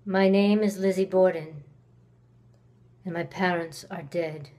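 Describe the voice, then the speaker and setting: A woman acting a slow-spoken, resentful Victorian murder suspect. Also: lowish voice, fairly even intonation, mellow, natural voice. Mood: cold, somber. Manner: slow, deliberate delivery with long pauses. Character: cold, reclusive, resentful accused murderess of the 1890s. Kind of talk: dramatic theatrical monologue.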